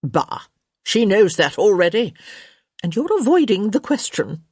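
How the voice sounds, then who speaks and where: Woman doing a bossy old countess, blunt and dramatic, kinda husky, dry close sound. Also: mid-range voice, big pitch swings, unhurried, no echo, pitched above the performer's own voice, heavily acted. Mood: prying. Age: elderly. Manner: sharp, imperious, blunt.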